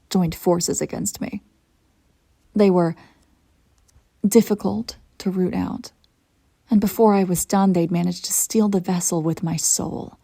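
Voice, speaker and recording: An ancient evil empress acted by a woman speaks in a dark, mellow voice with a gravelly creak. She is regal, cold and possessive, choosing formal words with arch care in an intimate roleplay monologue, her voice at times sinking toward a whisper. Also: mid-range voice. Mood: smug, composed. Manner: formal, measured, arch diction with breathy near-whispered drops. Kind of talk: scripted audio roleplay monologue, intimate direct address.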